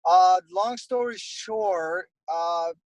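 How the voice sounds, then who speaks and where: A man's voice: sits high, extremely slow, dry recording. A young man from Costa Rica, a guest airbrush artist, talking casually and rambling with many fillers. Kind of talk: casual interview chat.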